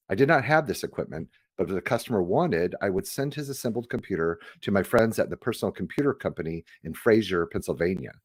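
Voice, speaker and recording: A man's voice: fairly low pitch, darkish tone, husky, no echo. A man reading scripted audiobook narration. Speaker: audiobook reader.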